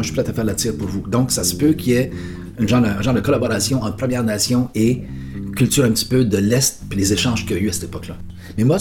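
A man talking in a casual interview, explaining his ideas earnestly and thinking aloud as he goes. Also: medium pitch. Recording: guitar music playing behind the voice.

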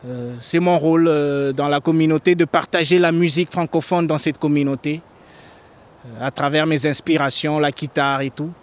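A man's voice: a little high, fairly even intonation. A middle-aged man of Congolese origin, a singer-songwriter, speaking earnestly to a live audience between songs. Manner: unhurried, earnest spoken asides to the crowd. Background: guitar playing.

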